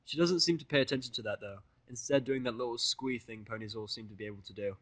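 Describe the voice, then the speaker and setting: A man's voice: mid-range voice, fast talker, fairly bright tone, no echo. A man narrating fan fiction in the first person, with irreverent, sweary casual asides.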